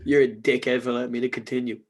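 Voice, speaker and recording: A man co-hosting a podcast in casual chat, hoarse from losing his voice, joking loosely and swearing freely. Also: medium pitch, level tone, fast. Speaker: podcast co-host.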